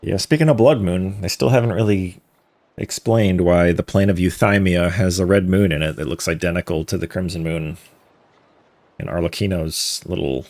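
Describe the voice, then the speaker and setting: A man's voice: deep voice, normal speed, darkish tone. A man giving casual live-stream game commentary in wry, musing asides. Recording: game music behind the voice.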